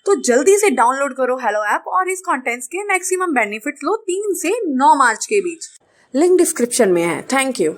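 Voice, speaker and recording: Casting: female ad announcer, high-pitched, very lively intonation, upbeat app promo. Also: normal speed. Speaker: advertisement announcer.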